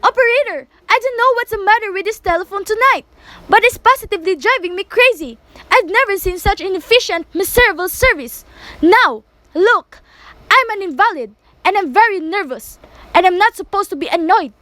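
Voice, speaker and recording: Very high voiced girl acting as a nervy bedridden wife, bossy, self-pitying, radio play. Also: lively intonation, a little fast, sharp tone, no room sound, exaggerated voice. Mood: irritated. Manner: fretful, imperious, melodramatic. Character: nervous, demanding bedridden invalid wife, shrill and self-pitying.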